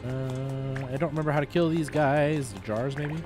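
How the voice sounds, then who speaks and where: A man chatting casually and a bit haltingly while he streams a game, thinking aloud in an informal way. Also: mid-range voice. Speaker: live streamer. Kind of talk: casual chat while gaming. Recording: music playing behind the voice.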